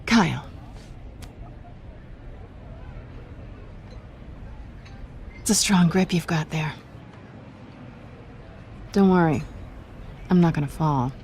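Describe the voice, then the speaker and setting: Sly, teasing American cat burglar. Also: female voice, low voice, darkish tone, a little hiss or hum. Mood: playful, coy. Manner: teasing, coy, measured. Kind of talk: video game cutscene acting. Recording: background music under the voice.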